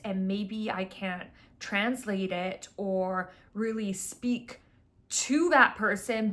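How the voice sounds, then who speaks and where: A woman's voice: medium pitch, a bit bright. Earnest woman speaking off the cuff. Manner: earnest, emphatic, repeats phrases for emphasis. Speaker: spiritual content creator. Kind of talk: unscripted spiritual monologue.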